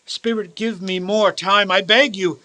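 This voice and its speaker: Radio play lead: old miser turned earnest, male actor, high bright voice, grandly emphatic. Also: fairly even intonation, unhurried. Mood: desperate, pleading. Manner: dramatic, emphatic, formal old-fashioned phrasing. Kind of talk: theatrical radio play acting.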